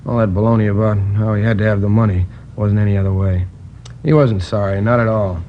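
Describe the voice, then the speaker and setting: An actor playing a talkative, indignant liquor store manager recounting a robbery in a 1950s radio crime drama, rambling with hesitations. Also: male voice, lowish voice, fairly even intonation, fairly quick, a little hiss or hum, close to an everyday voice. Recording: dull old radio transcription.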